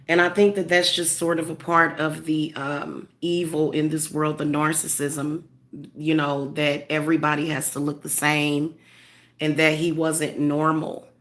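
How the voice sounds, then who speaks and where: Stuffed-up lady medium talking slow and low, lots of ums and restarts. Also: fairly even intonation, a bit bright. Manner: halting, many fillers and restarts. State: congested. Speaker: spiritual medium vlogger. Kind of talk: casual emotional monologue.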